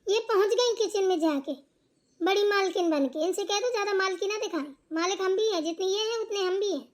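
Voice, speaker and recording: A woman voicing a quick-tempered young daughter-in-law in a family cartoon, arguing and complaining with sarcasm. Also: extremely high pitch. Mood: aggrieved. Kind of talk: amateur Hindi family cartoon dialogue.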